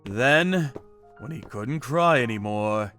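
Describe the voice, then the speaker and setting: A man giving dramatic first-person narration as a calm, righteous, menacing vigilante in an animated manga story. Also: medium pitch, takes it slowly, soft background music. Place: studio voice-over with music behind.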